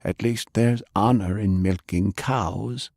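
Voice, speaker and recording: Man playing a low, reproving older gentleman. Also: creaky, husky, no echo, clean studio sound, full character voice. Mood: reproachful. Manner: measured, reproving. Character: Browning, principled older gentleman, disapproving and weary. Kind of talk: audiobook character acting.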